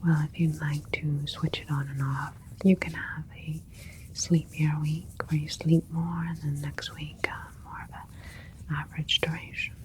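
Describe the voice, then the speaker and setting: Casting: woman as gentle alien doctor for sci-fi ASMR roleplay; whispered, unhurried, soothing and clinical. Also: deep voice, little pitch movement, low-quality recording. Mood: soothing, attentive. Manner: soft, careful, soothing, clinical phrasing. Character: gentle alien scientist doctor tending a newborn plant hybrid.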